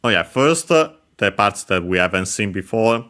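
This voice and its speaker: A man explaining Japanese grammar, pausing often with filler sounds as he works through each word. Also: lowish voice, expressive, bright, forceful tone. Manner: hesitant, many filler sounds, careful explanation. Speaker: Japanese language teacher. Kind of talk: explaining grammar over gameplay.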